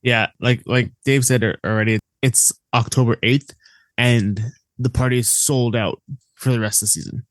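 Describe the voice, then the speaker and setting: A man on a podcast who talks with eager, blunt enthusiasm and swears offhandedly. His voice sits at a middle pitch and is recorded close and dry, with no room around it. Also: steady tone, normal speed, no echo. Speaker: podcast co-host. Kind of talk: casual podcast chat.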